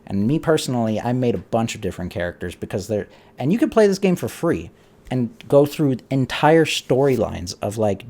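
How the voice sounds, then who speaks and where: A man's voice: mid-range voice, mellow. A man live streaming a casual, emphatic, rambling rant to his chat, swearing now and then. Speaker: live streamer giving opinions.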